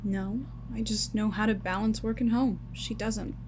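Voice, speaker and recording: A woman playing a brisk, self-assured working mother and scientist in an audio drama, with an assured, matter-of-fact manner. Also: mid-range voice, fairly even intonation, darkish tone, a little hiss or hum, natural voice. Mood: firm, dismissive.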